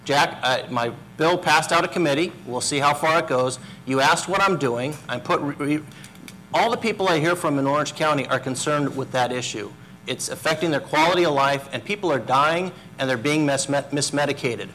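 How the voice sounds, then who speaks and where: A man's voice: fairly high pitch, moderate pace, sharp tone, a little hiss or hum. A middle-aged man, a political candidate in a panel debate, talking assertively and repeating himself over interruptions, on a lapel mic in a community hall. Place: community center hall with audience.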